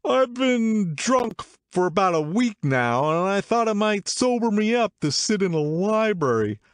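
A man voicing an excitable, tipsy middle-aged man who insists over and over, in a much higher voice than his own. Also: a little slow, dry recording, studio quality. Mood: excited insistent.

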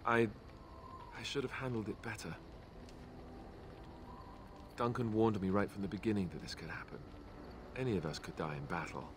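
An actor playing an earnest, awkward young warrior companion in a fantasy video game, hesitant and sincere. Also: male voice, lowish voice, normal speed, long pauses, background noise, natural voice. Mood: grieving, regretful.

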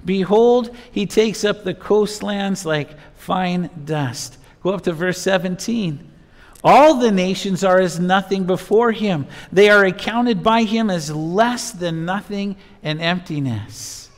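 A man's voice: sits high, takes it slowly. A man in his fifties, a pastor preaching and reading scripture in emphatic short phrases in a church. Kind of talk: expository sermon with Bible reading. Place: church sanctuary, at a pulpit. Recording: reverberant church hall sound.

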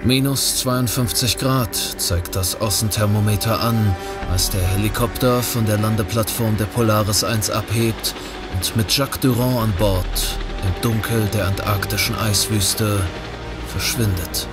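A man's voice: on the low side, steady tone, a little slow, over loud music, natural voice. A man reading scripted narration for a thriller audio drama. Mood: measured. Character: male narrator of a polar thriller.

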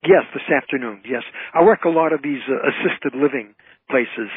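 A man's voice: mid-range voice, normal speed, slightly rough, no echo. An older man, an entertainer talking as an interview guest, emphatic and given to repeating his phrases. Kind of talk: conversational interview. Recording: thin, tinny phone line.

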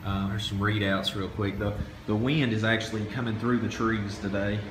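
A man's voice: fairly low pitch, steady tone, normal speed. A young man hosting an informal video tour as a contractor, talking in a rambling, folksy way. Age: young adult. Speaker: off-grid solar contractor, video host. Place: hilltop job site, in and out of doors. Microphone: phone held by the speaker. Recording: noisy handheld recording with wind.

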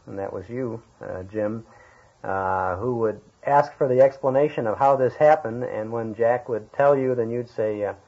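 A man interviewing in a casual radio style, often halting as he searches for words. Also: mid-range voice. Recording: dull lo-fi archival radio recording.

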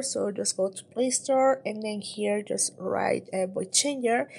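A woman with a fairly high voice and a husky edge narrates a casual tutorial. She explains things simply, one step at a time, going back over points as she guides the listener. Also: darkish tone. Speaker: tutorial host.

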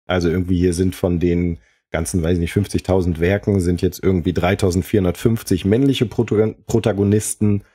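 A man's voice: sits low, fairly even intonation, brisk, dark tone, breathy edge. A man co-hosting a podcast, chatting casually and often correcting himself as he explains. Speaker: podcast co-host.

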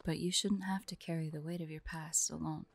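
A woman playing a forlorn, vulnerable young huntress in an intimate audio roleplay, speaking softly and haltingly, often drifting into whispers. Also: low voice, steady tone, gravelly. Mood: gentle, earnest. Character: forlorn young woman hunter, tearful, vulnerable, guarded, affectionate.

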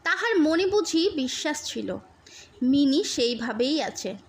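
Slow, high-voiced woman narrating a story. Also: very animated. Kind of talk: scripted literary audiobook narration.

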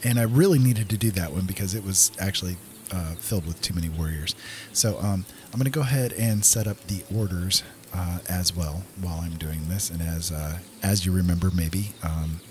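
Low-voiced man doing casual game commentary. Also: normal speed, a little mellow, slight background noise. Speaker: gaming video host.

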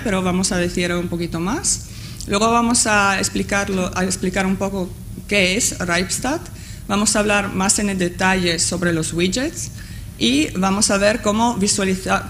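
A woman's voice: mid-range voice, a bit bright, slight background noise. A woman in her thirties, a native Italian speaker, presenting a tutorial with many hesitations and fillers at a conference panel table, using a table microphone. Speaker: course instructor.

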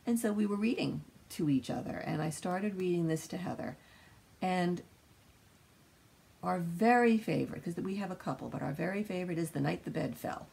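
Older woman, gravelly and animated, swinging pitch, informal storytelling chat in a husky voice. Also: normal speed. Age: older, around 60s. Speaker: co-host, mother discussing books. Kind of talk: casual rambling chat. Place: small book-lined room indoors.

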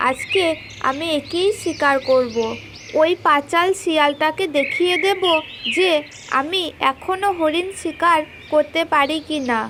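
A boastful, gullible old tiger in a cartoon fable played by a woman, extremely high in pitch. The tiger blusters with hot-tempered pride over noisy birdsong ambience. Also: a little slow. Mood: proud. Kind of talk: children's cartoon folk tale.

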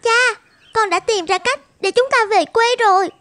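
A woman's voice: very high voice, moderate pace, bright, forceful tone, no room sound. A woman voicing a devoted, determined fifteen-year-old girl in a cartoon, polite and earnest. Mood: eager. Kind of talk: animated film dubbing. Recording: music behind the voice.

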